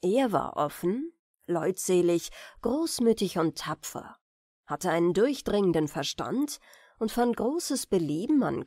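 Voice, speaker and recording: A woman reading fairy tales as an audiobook narrator, with an expressive storytelling delivery. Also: fairly high pitch, dry recording, studio quality. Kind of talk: bedtime fairy-tale reading, scripted narration.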